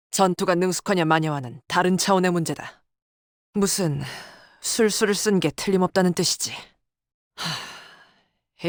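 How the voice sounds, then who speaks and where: A woman's voice: on the low side, no room sound, clean studio sound, close to an everyday voice. A woman voicing a proud, stern female military tactician in a game, with clipped, formal, commanding delivery. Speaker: game character voice. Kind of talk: dramatic scripted game dialogue. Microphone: close, dry studio microphone.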